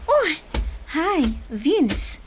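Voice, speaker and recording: A woman's voice: sits high, sing-song, very slow, slight background noise, heavily acted. An actress with an Ilocano accent playing a sweet, shy, single young woman in a radio drama, speaking in a hesitant, coy way. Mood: flustered, shy. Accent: Ilocano, northern Philippines. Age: young adult.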